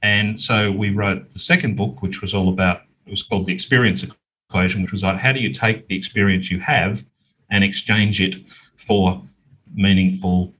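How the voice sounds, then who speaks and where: A middle-aged Australian man explaining things in a measured, conversational way as an interview guest. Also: sits low, steady tone, fairly bright tone, husky, poor audio. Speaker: interview guest, business owner.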